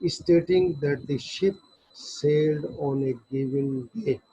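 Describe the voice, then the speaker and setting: A man with a Pakistani accent giving an explanatory law lecture, reading passages out deliberately, phrase by phrase, and explaining them. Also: fairly high pitch, animated, dark tone, slightly rough. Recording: dull, lo-fi audio.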